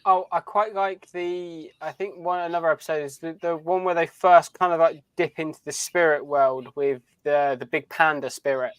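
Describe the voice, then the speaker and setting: A man's voice: a little high, steady tone, moderate pace, few pauses, clean tone, no echo. A man co-hosting a podcast, chatting casually as a fan and often repeating phrases as he thinks. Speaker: podcast co-host. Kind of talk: casual fan chat about a TV series.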